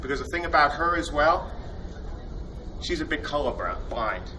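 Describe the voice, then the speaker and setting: A man's voice: fairly high pitch, very animated, normal speed. Enthusiastic man hosting a convention panel. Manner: jokey, enthusiastic, rambling with fillers. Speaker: panel host introducing team. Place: convention hall. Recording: distant mic with loud room noise.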